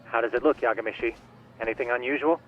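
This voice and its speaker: A man playing a calm, geeky tech ally in an English video game dub, with a measured, dryly polite manner. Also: medium pitch, lo-fi sound, soft background music, close to an everyday voice. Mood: dry, observant. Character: calm, geeky male tech ally coaching over comms.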